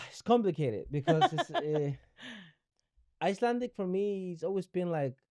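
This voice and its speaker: A young man from Ecuador, Spanish his first language, thinks aloud as a podcast guest. His voice is warm and mellow, his sentences often restart and circle back, and the sound is close and dry. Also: sits high, very animated, unhurried, no room sound. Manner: searching, with frequent restarts and repeated words. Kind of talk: reflective conversational interview.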